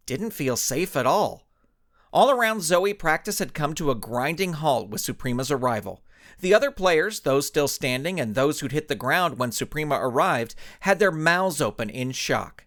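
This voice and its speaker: A man reading his own serialized story as an animated scripted narration. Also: on the high side.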